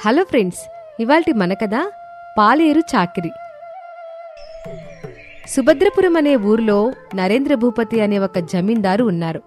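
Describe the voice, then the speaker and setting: Lady telling a kids moral story, bit high pitched, reading it out, soft music under. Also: expressive, unhurried, pauses often. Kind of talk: scripted children's story narration. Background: faint music.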